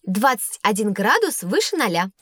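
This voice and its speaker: A woman's voice: mid-range voice, big pitch swings, moderate pace, fairly bright tone, a little hiss or hum. A woman playing a curious, clever schoolgirl in an educational audio drama, eager and articulate. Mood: earnest. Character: bright, curious schoolgirl pupil, quick to understand. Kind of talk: scripted audio drama dialogue.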